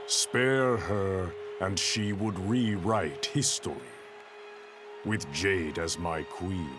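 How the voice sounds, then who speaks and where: An actor playing a warrior emperor turned keeper of time, speaking in grave, measured tones. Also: male voice, sits low. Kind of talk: game cutscene narration.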